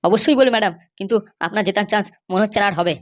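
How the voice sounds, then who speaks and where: A worried young cartoon petitioner, voiced by a woman, speaking at a breakneck pace in a middling female pitch. The manner is polite yet nagging and insistent. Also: racing, dry recording, close to an everyday voice. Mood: anxious, insistent. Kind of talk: amateur comedy cartoon dialogue.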